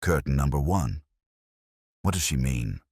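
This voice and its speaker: A man acts out a plain-spoken police sidekick in a detective audiobook, uneasy and full of doubt. The voice is low and a little rough, with a troubled, questioning lilt that moves about freely. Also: expressive, measured pace, a little mellow, no room sound, clean studio sound, exaggerated voice. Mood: troubled, puzzled.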